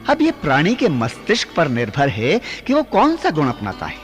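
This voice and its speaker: A man's voice: a little high, huge pitch swings, normal speed. An actor playing a benevolent, fatherly creator god who instructs with authority in declamatory radio drama style. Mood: instructive. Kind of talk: mythological radio drama acting. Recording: studio radio play with music behind.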